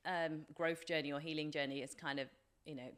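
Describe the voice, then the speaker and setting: A woman's voice: deep voice, steady tone, a little fast. A British woman in her forties talking reflectively about herself as an interview guest, in an informal, hesitant way with plenty of filler phrases. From England, from age three. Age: 40s. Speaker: podcast guest, life coach.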